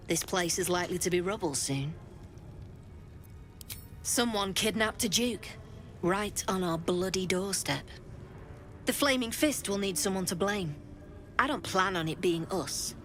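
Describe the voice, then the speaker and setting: A woman's voice: medium pitch, a little slow, long pauses, soft background music, close to an everyday voice. A woman playing a hard-nosed gang boss. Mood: threatening. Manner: blunt, threatening, businesslike.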